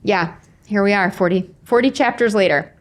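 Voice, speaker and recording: Woman, forties, mid-pitched voice, casual storyteller, self-deprecating humor, quotes dialogue, podcast guest. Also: moderate pace. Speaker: author, interview guest.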